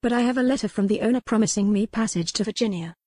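A woman's voice: mid-range voice, fast talker, mellow, no echo, clean studio sound, natural voice. A woman voicing an imperious, headstrong young noblewoman in an audiobook, formal and insistent. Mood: firm. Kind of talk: audiobook character dialogue.

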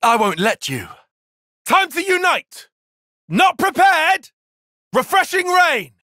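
Young strategist dude in a game fight, short bossy callouts, very animated pitch, dry close sound. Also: male voice, slow pace, no echo, full character voice. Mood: battle shouting. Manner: clipped, commanding short call-outs. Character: brainy young male tactician fighter, composed but intense. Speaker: video game voice actor. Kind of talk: video game battle barks.

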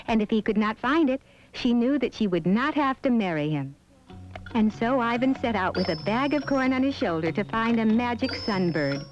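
A woman's voice: a little high, darkish tone. A woman storyteller reading scripted narration for a children's fairy tale. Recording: dull lo-fi soundtrack with music behind. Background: background music.

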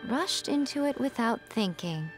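A woman's voice: fairly high pitch, animated, unhurried, soft background music. A woman voicing a sly, composed fox shrine maiden in a video game, with a poised, wry, teasing delivery. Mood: wry amusement. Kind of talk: scripted video game character dialogue.

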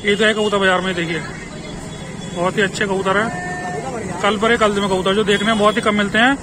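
A man with a Western Uttar Pradesh Hindi accent talks in brisk, salesy patter, his voice sitting fairly high. He is recorded on a phone while walking through an open-air market, with chatter and traffic all around him. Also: fairly even intonation. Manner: brisk promotional patter, repeatedly urging viewers. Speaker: vlogger touring a pigeon market. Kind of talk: walking market vlog, talking to sellers and viewers. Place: busy outdoor street market. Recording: noisy outdoor market crowd. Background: crowd chatter and traffic.

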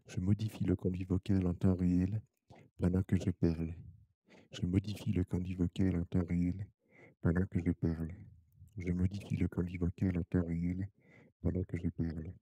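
A young man repeating a scripted demonstration sentence in a measured monotone, his voice processed through a real-time vocoder. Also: deep voice, husky, no echo. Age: young adult. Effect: real-time vocoder voice modification. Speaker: demonstrator of voice software. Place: small office or lab room, indoors. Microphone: headset microphone.

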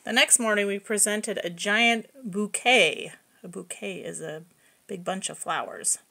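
A woman reading a picture book aloud to young children, expressive and explaining things simply. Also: medium pitch, measured pace, creaky. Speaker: preschool story reader.

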